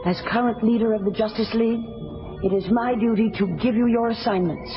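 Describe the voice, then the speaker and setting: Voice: medium pitch, steady tone, brisk, natural voice. A performer playing a resolute Amazon princess superhero in an audio storybook, speaking formally and with authority. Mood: commanding. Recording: dull old audiobook, loud music behind.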